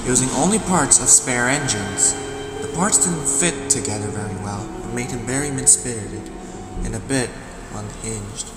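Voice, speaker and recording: A male narrator tells a dark children's tale in a mid-pitched voice, pausing deliberately for drama. His voice rings with long reverb, and music plays loudly behind him. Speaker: storyteller, narrator. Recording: echoey, reverberant hall sound. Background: loud music.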